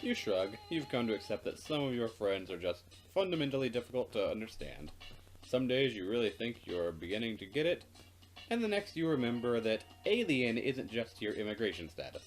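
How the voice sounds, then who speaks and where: Dry man with lively, swinging intonation. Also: medium pitch, a little fast, soft background music, rough recording. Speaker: let's play co-host who reads the game narration. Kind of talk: casual chat and narrated game text.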